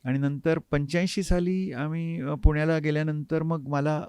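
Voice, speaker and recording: A man, reminiscing reflectively as an interview guest and often pausing mid-sentence to find his words. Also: a little high, steady tone, mellow, clear, resonant tone, no room sound. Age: 50s. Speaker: interview guest, harmonium player. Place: recording studio.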